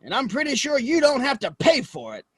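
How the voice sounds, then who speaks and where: A man's voice: extremely high pitch, wildly swooping pitch, brisk, a bit bright, breathy edge, no room sound, studio quality, full character voice. A man playing a cranky, sarcastic saloon regular in a Western audio play, with a folksy, mocking way of talking.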